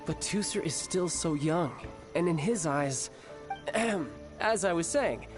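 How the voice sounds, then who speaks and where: Cocky, charming young game warrior, lively, teasing, music under. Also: male voice, a little high, slow. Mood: sly. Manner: smooth, teasing, mock-formal. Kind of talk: game cutscene voice acting. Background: background music.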